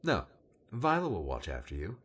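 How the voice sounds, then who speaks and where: A man's voice: low-pitched, huge pitch swings, normal speed, fairly bright tone, slightly rough, exaggerated voice. A man playing a smug, cheeky young man in an audiobook, with a casual teasing manner. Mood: casual, hurried. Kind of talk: dramatised audiobook character dialogue.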